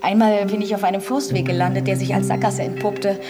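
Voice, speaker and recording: Polite magazine journalist played by a woman, mid-pitched. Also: flat delivery, fairly quick, close to an everyday voice. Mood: flustered, polite. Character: polite, slightly flustered female magazine journalist. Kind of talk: radio drama dialogue. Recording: loud music behind the voice.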